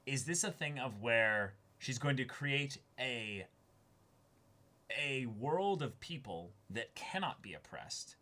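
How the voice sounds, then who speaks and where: A man's voice: mid-range voice, animated, takes it slowly, pushed and bright. A man co-hosting a casual discussion, talking analytically and stressing his key words. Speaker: co-host of an anime reaction discussion.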